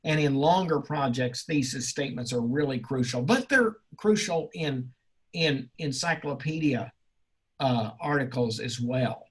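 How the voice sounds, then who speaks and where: Guy teaching college class, bit raspy, rambles and stalls, really encouraging with questions. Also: medium pitch, expressive. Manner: halting, rambling delivery; encouraging, reassuring. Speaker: college instructor. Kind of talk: informal class question-and-answer.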